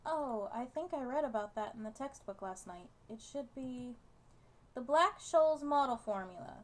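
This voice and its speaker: A woman's voice: a little high, animated, moderate pace. A woman casually reading a visual novel's narration aloud, now and then stumbling over the written words. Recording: noticeable background noise.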